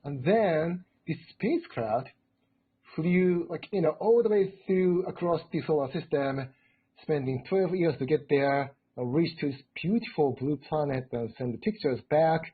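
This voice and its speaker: A man in his forties from Japan with a Japanese accent, telling his story as a guest speaker, full of "you know" fillers and rising excitedly in pitch. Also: unhurried, husky. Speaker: guest speaker, robotics researcher. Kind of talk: storytelling in a live lecture chat. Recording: tinny phone-like line.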